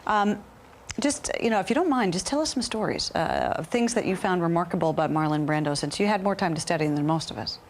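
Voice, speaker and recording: American lady news anchor doing a live interview, lowish voice, bit of background noise. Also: animated, fast.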